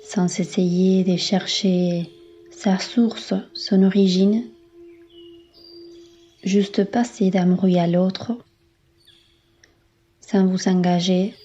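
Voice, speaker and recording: A woman yoga instructor leading a guided relaxation in a calm, soothing, unhurried manner with long pauses. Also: medium pitch, dull audio, soft background music. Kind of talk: guided meditation, yoga nidra.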